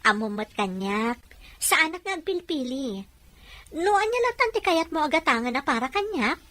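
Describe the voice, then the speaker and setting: A woman with an Ilocano accent acting a gentle, worried wife and daughter in an audio drama. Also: sits high, sing-song, moderate pace, no room sound. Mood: soft, affectionate. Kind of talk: serial radio-style drama in Ilocano.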